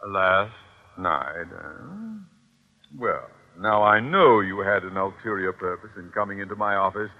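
An American actor playing a pompous, high-strung, middle-aged school principal who blusters indignantly in an old-time radio sitcom. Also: male voice, unhurried. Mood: smug, sly. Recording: tinny, noisy vintage radio recording.

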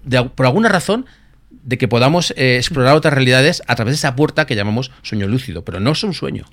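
A man from talks quickly and eagerly, piling on asides as he explains. His voice sits at a middle pitch. The sound is dry and close, with no room echo. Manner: fast, animated explaining with many asides. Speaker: guest, lucid dreaming expert. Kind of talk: podcast interview explanation.

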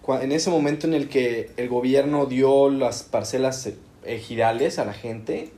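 A man's voice: mid-range voice, measured pace, dark tone. A Mexican man in casual chat, reflecting and explaining at length.

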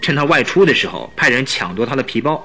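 A man's voice: medium pitch, animated, fast, sharp tone, soft background music. An actor playing a proud, anxious young king in a radio drama, speaking emphatically and imperiously. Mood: frustrated.

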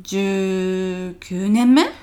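A woman's voice: medium pitch, drawn-out words, dark tone. A woman playing a middle-aged housewife in a comedic radio sketch, reacting with exaggeration, easily irked and easily impressed. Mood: doubtful, pondering. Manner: exaggerated reactions, colloquial feminine speech. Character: married housewife, easily irked by husband, gushing and gullible.

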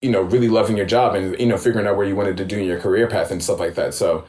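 A man in his thirties from New York, a guest in a casual interview, talking in a relaxed, reflective way with many fillers. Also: fairly low pitch, very fast, echoey room. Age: 30s. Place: home room.

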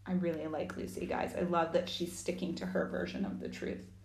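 A woman with a slightly gruff, growly voice reading a chapter book aloud, pausing to chat with young listeners. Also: lowish voice, flat delivery. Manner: warm asides to young listeners. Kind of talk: storybook reading with chatty asides. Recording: echoey room.

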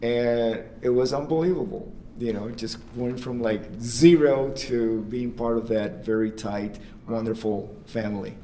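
Man reminiscing, halting with fillers, mellow tone, distant from mic, faint hiss. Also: mid-range voice. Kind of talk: reminiscing interview. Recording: dull distant clip with faint noise.

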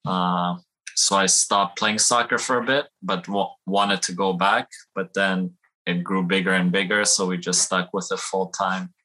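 Low, flat-voiced man, relaxed and rambling. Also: unhurried, poor audio. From Iran. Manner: relaxed, rambling, many fillers like "you know" and "uh". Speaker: football technical trainer, guest. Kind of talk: casual interview answers.

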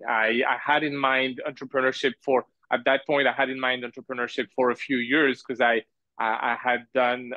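Dull, dry lo-fi audio carries a man from France speaking as a podcast guest. He tells his story casually at a medium pitch, halting and wandering into asides. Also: bright, forceful tone, dry recording. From grew up in France. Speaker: podcast guest, business owner. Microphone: remote call.

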